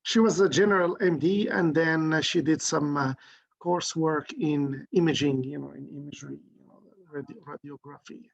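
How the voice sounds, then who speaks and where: A man's voice: on the high side, normal speed, creaky, no room sound. A middle-aged man from Morocco, a guest talking through ideas in a thoughtful, philosophical way with many restarts. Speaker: interview guest. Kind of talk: reflective conversational interview. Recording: dull, dry lo-fi sound.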